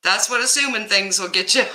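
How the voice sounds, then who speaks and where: A woman's voice: medium pitch, brisk, pushed and bright, echoey room. A woman from Kansas with a Kansas accent, hosting a casual live stream, reading viewers' comments and answering them by name, often laughing. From Kansas, US Midwest. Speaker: livestream host reading chat.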